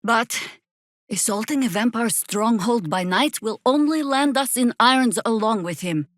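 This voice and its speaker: An actress playing a seasoned, middle-aged druid warrior in a fantasy video game, wry and commanding, with a dry, sardonic, measured delivery. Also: female voice, a little high, expressive, no room sound.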